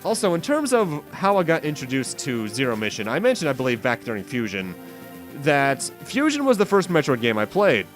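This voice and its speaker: A man's voice: medium pitch, wildly swooping pitch, a little fast. A man hosting a video game playthrough, giving commentary with rambling, self-interrupting asides. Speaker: host of a game playthrough series. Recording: game music behind the voice. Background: video game music.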